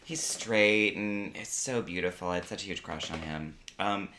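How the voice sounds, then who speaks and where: A man's voice: low-pitched, normal speed, pushed and bright, a little hiss or hum. A man hosting a casual podcast chat in an apartment, with a campy manner, often trailing off and restarting. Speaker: podcast host.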